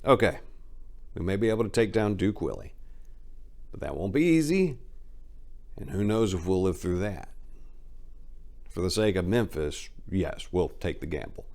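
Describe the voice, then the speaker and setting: A man's voice: sits low, animated, moderate pace, long pauses, darkish tone. A man playing a weary, doubtful, grieving older hefty crime boss who pleads and questions with emotion in an audiobook crime drama.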